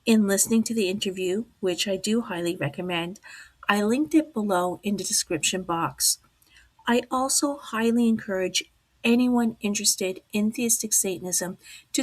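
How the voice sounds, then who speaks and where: A woman hosting a podcast in slow, deliberate speech, hoarse from losing her voice and dropping to a whisper at times. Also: mid-range voice, rough recording. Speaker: podcast host. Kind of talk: slow, deliberate talk on the occult.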